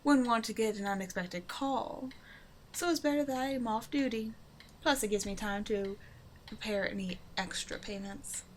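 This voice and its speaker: A woman's voice: fairly high pitch, normal speed, a little hiss or hum, natural voice. A woman playing a flirtatious, self-assured supervillain in an intimate roleplay audio drama, talking casually and teasingly to the listener. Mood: affectionate, easygoing. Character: flirtatious female supervillain, a demanding but generous tailor's customer.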